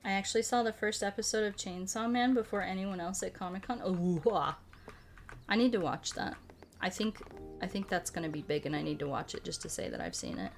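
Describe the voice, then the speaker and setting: A woman streaming a game, chatting casually in a rambling, halting way with self-corrections and playful, cutesy phrasing. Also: medium pitch, lively intonation, gravelly. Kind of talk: casual chat while playing a game. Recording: music behind the voice. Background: music.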